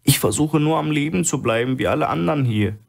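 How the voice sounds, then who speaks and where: A man voicing a calm, calculating male hostage in a thriller audiobook, speaking in a measured, understated way. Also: on the high side, vocal fry, pitched above the performer's own voice. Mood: guarded, calm.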